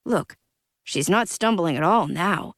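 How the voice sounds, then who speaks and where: A woman voicing a calm, protective young male warrior who speaks quietly and flatly. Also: fairly low pitch, measured pace, dry recording, clean studio sound.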